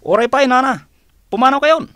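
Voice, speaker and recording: A man's voice: extremely high pitch, moderate pace, a bit bright, no room sound. An Ilocano Filipino man playing a firm policeman warning people away in a radio drama. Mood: urgent, firm.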